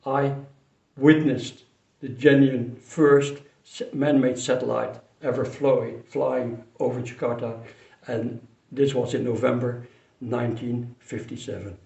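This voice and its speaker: An older man who grew up in Indonesia, fondly telling a childhood memory in an unhurried way, with pauses and restarts. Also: medium pitch, level tone, vocal fry, warm tone, dull audio. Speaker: storyteller. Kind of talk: personal memory told to camera. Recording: roomy echo, mic some distance away.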